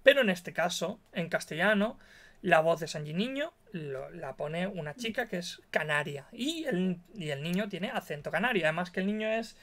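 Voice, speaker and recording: A man's voice: high-pitched, expressive, moderate pace, a bit bright. A young man co-hosting a casual streamed chat from a dim home room on a headset call. He argues his opinion emphatically, point by point. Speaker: co-host.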